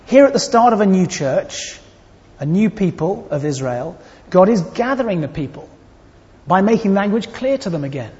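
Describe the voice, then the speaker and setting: Male preacher, slightly high voice, earnest and expressive, long hall reverb. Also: moderate pace, dull audio. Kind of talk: sermon.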